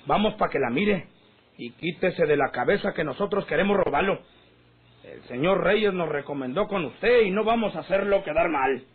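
A man's voice: high voice, expressive, moderate pace, breathy edge. An actor playing an insistent, placating hired gunman, earnest and persuasive, in a rural radio drama. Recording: noisy, narrow-band old radio recording.

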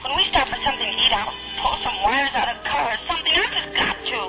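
A woman's voice: sits high, lively intonation, fast. An actress playing a fretful, scheming young woman thinking aloud in a 1940s radio drama. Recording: tinny 1940s radio, music behind.

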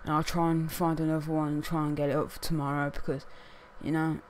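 A young man with a croaky, hoarse voice, chatting casually to camera as a gaming video host and rambling with lots of fillers. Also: on the high side, level tone, fast, slight background noise. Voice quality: croaky, losing his voice. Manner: rambling, many fillers like "what's it called". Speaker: gaming YouTuber.

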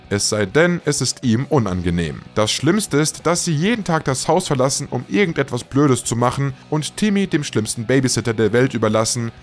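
A man reading a scripted voice-over as the narrator of a countdown video. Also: medium pitch.

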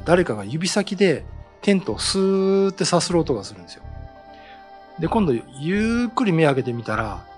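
A middle-aged man, the show's host, chatting about folklore in an animated storytelling manner, acting out sounds, in a small studio at a desk mic. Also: on the high side. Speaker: host and ghost-story writer. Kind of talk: casual talk explaining folklore. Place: small studio set with bookshelf backdrop, indoors. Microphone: desk mic on a stand.

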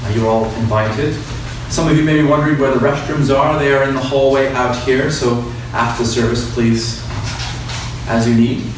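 A man's voice: mid-range voice, fairly even intonation, moderate pace. A man, a priest, giving courteous, semi-formal announcements to a church congregation. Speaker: officiating priest. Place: church interior, at a lectern. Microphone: distant camera in the pews. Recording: very echoey church, distant, noisy.

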